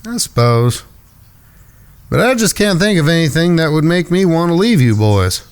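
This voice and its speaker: A man's voice: on the high side, sing-song, normal speed, clean tone. A man playing an earnest, protective younger brother in a cowboy gang, plainspoken and folksy, in a western audio drama. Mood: pensive, worried.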